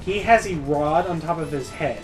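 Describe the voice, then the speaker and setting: Man, fairly high voice, exasperated nitpicking, casual gaming co-commentary, clear game music behind. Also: normal speed, low-quality recording. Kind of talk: casual let's play chat. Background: video game music.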